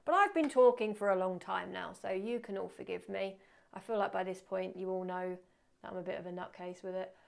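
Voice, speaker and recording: A woman teaching photography in a live webinar, chatty and self-deprecating, muttering quietly to herself as she works at her computer. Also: mid-range voice, fairly quick, gravelly. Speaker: photography instructor. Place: indoors at a computer.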